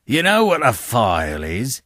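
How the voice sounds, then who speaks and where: A man voicing a ragged escaped convict in an audiobook, rough, hungry and threatening, with rustic speech and dropped sounds. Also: medium pitch, wildly swooping pitch, a little slow, a bit bright, dry recording, clean studio sound, exaggerated voice. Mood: threatening, urgent. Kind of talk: dramatised audiobook character voice.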